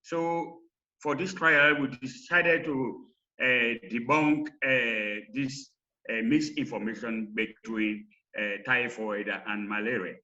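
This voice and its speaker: Forceful man lecturing. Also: mid-range voice, steady tone, takes it slowly. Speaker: researcher presenting a study. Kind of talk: academic lecture. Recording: slightly dull online call audio.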